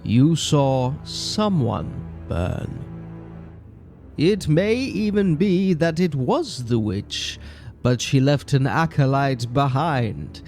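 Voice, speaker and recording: A man playing a stern, accusing friar in a medieval horror story, speaking formally and deliberately. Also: mid-range voice, darkish tone. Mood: grave, suspicious. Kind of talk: dramatic audio horror story.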